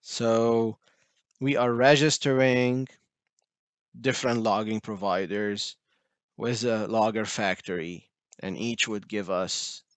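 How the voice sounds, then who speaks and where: A man's voice: lowish voice, fairly even intonation, slow pace, clear, resonant tone, no room sound. A man giving a technical talk over slides, explaining step by step with frequent "uh" fillers. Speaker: presenter.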